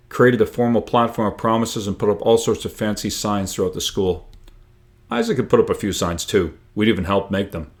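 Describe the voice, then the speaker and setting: A man reading his own book aloud in an expressive storytelling style, lifting the dialogue with animated emphasis. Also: lowish voice.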